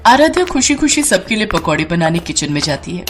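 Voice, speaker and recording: A woman's voice: fairly low pitch, very animated, brisk, music in the background. A woman reading a scripted storybook narration.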